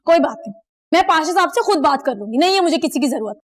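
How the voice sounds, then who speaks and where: A woman's voice: high voice, expressive, quick pace. An actress playing a feisty, defiant young woman in a comedy drama, protesting and pleading dramatically. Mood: indignant. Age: young adult. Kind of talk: Urdu TV comedy drama acting.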